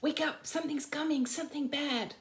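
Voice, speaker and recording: A woman's voice: high voice, steady tone, brisk, voice pushed up, natural voice. A woman voicing a plucky, alert male bull terrier in a children's storybook. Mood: urgent. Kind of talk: children's storybook read-aloud.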